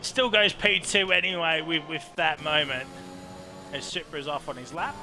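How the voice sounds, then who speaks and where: A man commentating a live online racing league, reacting with quick exclamations and chatty asides. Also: on the high side. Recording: race game engine noise behind voice.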